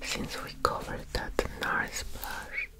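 A young woman speaks entirely in a soft, breathy whisper, extremely slowly. She is gentle and soothing, up close to the mic, as in an ASMR makeup-artist roleplay. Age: young adult. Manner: slow, gentle, delicate, soothing. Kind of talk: ASMR personal-attention roleplay. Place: small indoor room. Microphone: close ASMR microphone.

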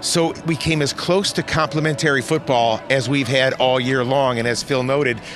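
An older man gives postgame analysis into a handheld mic outdoors on the field. He speaks in a measured way, pausing and restarting as he works through his points. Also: medium pitch, steady tone, noisy background. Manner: thoughtful, halting with restarts. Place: outdoors on a football field at night.

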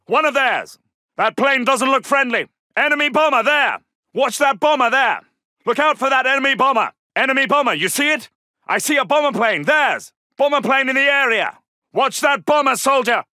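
A man's voice: very high-pitched, lively intonation, moderate pace, sharp tone, no echo, studio quality, heavily acted. A man voicing an alert soldier in a video game, giving clipped, urgent military callouts. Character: alert, gruff British male Second World War soldier. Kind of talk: shouted combat callouts.

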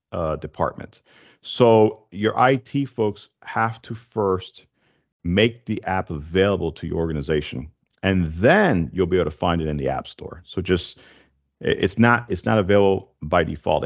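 A man in his forties hosting a casual tech explainer, speaking in a halting, stop-and-start way into a close desk microphone. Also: low-pitched, lo-fi sound. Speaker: tech show host. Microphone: desk condenser microphone, close, with headset.

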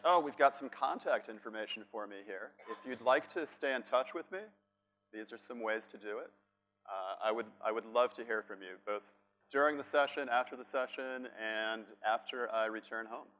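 A man's voice: medium pitch, fairly bright tone. A middle-aged man from Boston with a Boston accent, giving a playful, chatty workshop talk at a conference and asking the audience questions. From Boston, United States. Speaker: conference speaker. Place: large conference room on stage.

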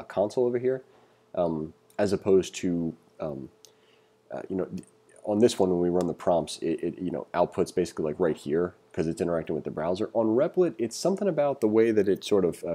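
A man's voice: low voice, warm tone, slightly rough. A man giving an informal read-along coding tutorial, thinking aloud in a casual manner as an instructor.

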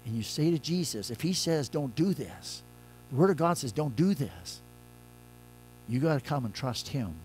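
An older man, a pastor, preaching a conversational sermon from a church pulpit, moving between loud emphatic lines and quiet soft ones. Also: medium pitch, sing-song, normal speed, faint music behind, low-quality recording.

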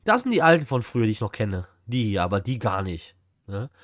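A man's voice: fairly low pitch, sing-song, a little fast, no echo, clean studio sound. A man, chatting casually and musing aloud as he reacts on a livestream. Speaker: streamer reacting to a video. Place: indoor streaming room.

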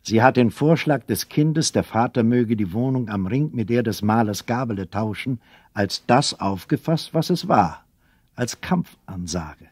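A man's voice: mid-range voice, expressive, a little slow, darkish tone, breathy edge, no echo, studio quality. A man acting as the narrator of a children's radio play, telling the story in scripted narration. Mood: measured narration. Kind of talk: scripted audio-drama narration.

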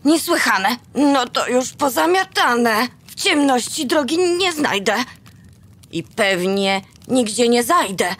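A woman's voice: a little high, expressive, takes it slowly, fairly bright tone, full character voice. A tearful little boy elf. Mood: grumbling. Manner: fretful, whiny rhyming complaints. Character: small homesick young male elf, timid and tearful. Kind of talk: rhyming children's radio play.

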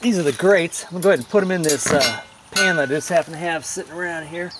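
A man's voice: on the high side, quick pace. A man in his forties hosting a casual do-it-yourself video, chatting in a folksy way while he works outdoors in a yard. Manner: chatty, folksy, talks while working with hands. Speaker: DIY video host. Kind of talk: casual how-to demonstration. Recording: outdoor hiss and birds. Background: birds and outdoor ambience.

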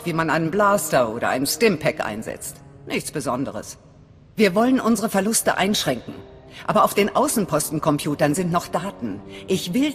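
A woman's voice: fairly low pitch, big-room sound, lightly acted. An actress playing a hard, suspicious female resistance leader in a video game, curt and commanding. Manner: stern, clipped, commanding. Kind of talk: dramatic video game acting.

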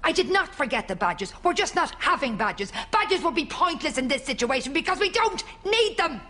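A woman's voice: high voice, sing-song, moderate pace, sharp tone, full character voice. A woman playing an exasperated grown-up Irish daughter in a sitcom, bossy, defensive and insistent. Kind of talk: studio sitcom acting. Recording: noisy sitcom studio background.

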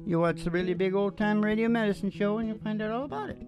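An older man chatting casually as a show host and singer, in a halting, opinionated, wry manner. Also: sits high. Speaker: host and singer of a father-daughter music podcast. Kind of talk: casual rambling chat between songs. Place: small dim curtained stage indoors. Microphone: microphone on a stand, close to mouth. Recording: dull lo-fi live sound with acoustic guitar. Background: acoustic guitar music.

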